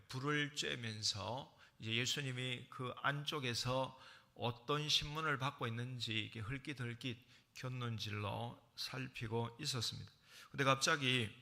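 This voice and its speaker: A man with a Gyeongsang accent delivers a sermon as pastor. His voice is very bright and forceful, and he retells the story in a lively way with acted-out parts. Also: medium pitch. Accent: Gyeongsang Korean. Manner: animated storytelling, acting out scenes.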